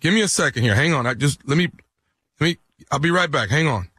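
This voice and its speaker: A man's voice: fairly high pitch, lively intonation, quick pace, bright, forceful tone, slightly rough, no echo, exaggerated voice. A man playing an apologetic office manager who has to break bad news, hesitant and stammering. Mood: flustered. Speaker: comedian doing prank phone calls.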